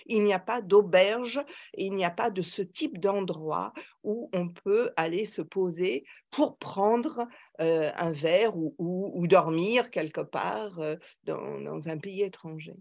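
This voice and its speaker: An older woman, answering conversationally in an interview, emphatic and reflective, pausing as she searches for words. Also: on the low side, vocal fry, darkish tone, muffled recording. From Paris, France. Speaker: psychoanalyst and author, podcast guest.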